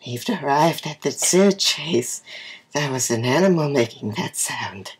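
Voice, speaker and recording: A man voicing a kindly, affectionate mother in a children's storybook, gentle and reassuring. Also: fairly high pitch, animated, unhurried, slightly rough, full character voice.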